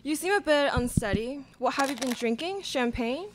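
A woman's voice: high-pitched, lively intonation, moderate pace, noisy background. A young woman playing an upbeat red carpet celebrity interviewer in a live radio theatre show. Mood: upbeat, announcing. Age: young adult. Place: college classroom stage, indoors. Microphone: stand microphone.

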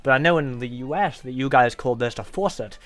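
A man's voice: medium pitch, brisk, soft background music. A man hosting a playful gaming stream, commenting on play and reading game text aloud. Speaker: video game streamer, host.

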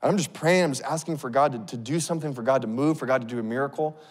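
Man, 30s, pastor, animated casual sermon, handheld mic, lingering hall echo. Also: mid-range voice, very fast. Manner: animated, acts out scenes, casual fillers. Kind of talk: sermon storytelling. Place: large church stage. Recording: long reverb of a big hall.

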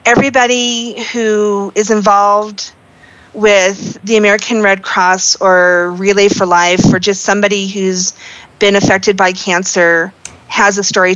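A woman's voice: medium pitch, fairly bright tone, dry recording, highs cut off. A woman giving earnest, casual interview answers as a member of a charity team. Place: online voice chat in a virtual world.

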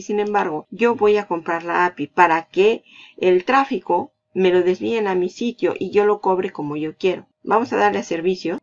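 A woman in a lowish voice walks a viewer through a screen-recorded tutorial, chatty and explanatory. She repeats her points for emphasis. The voice-over sounds a bit dull and lo-fi. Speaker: online marketing tutor. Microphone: voice-over over screen capture.

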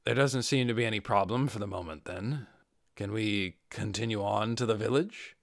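A man's voice: fairly low pitch, moderate pace, gravelly, dry recording, clean studio sound. A man voicing a composed, commanding adventurer leader in a fantasy audiobook. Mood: calm.

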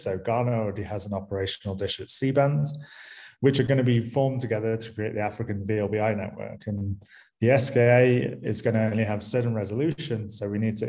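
A man with a Manchester-area English accent gives a conference talk from a small room over a webcam. His voice sits low and sounds mellow, and his delivery is relaxed, full of ums and small restarts. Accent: Mancunian English. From England, near Manchester. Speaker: university lecturer.

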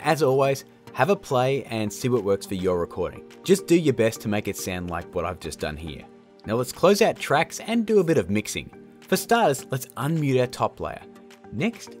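A man in his thirties narrating a tutorial in a casual, informal way. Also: fairly low pitch. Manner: informal, addresses viewers as guys. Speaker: host of audio effect tutorials. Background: background music.

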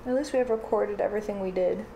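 A woman's voice: mid-range voice, quick pace, warm tone, a little hiss or hum. A young adult woman hosting a craft livestream, chatting casually in a blunt, dry way. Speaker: livestream host. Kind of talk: casual chat while doing crafts. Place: living room or home craft room.

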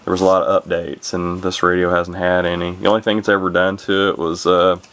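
Hobbyist vlogger, man, low gravelly voice with a bright edge, runs his words together. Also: fairly quick. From Illinois, USA. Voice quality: low gravelly voice, talks monotone. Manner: rambling, many fillers and restarts, runs words together. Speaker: YouTuber showing vintage radios. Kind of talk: casual unscripted talk to camera.

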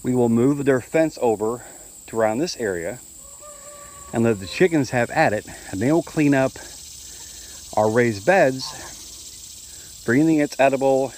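A man filming his farmyard outdoors on a handheld phone, explaining at an easy, relaxed pace. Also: mid-range voice, moderate pace, long pauses, a little hiss or hum. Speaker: homesteader showing his farm. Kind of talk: casual walk-through narration.

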